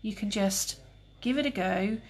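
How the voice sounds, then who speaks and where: Breathy woman teaching cake painting. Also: mid-range voice, unhurried. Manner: calm, relaxed, chatty with viewers. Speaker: cake decorating tutor. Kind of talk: live demonstration with chat.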